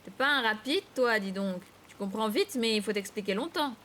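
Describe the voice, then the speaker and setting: A woman's voice: on the high side, expressive, normal speed, fairly bright tone, a little hiss or hum. A woman playing a cheeky, blunt schoolgirl. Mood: mildly annoyed. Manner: blunt, teasing, a little annoyed. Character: cheeky, blunt redheaded schoolgirl, slightly impatient.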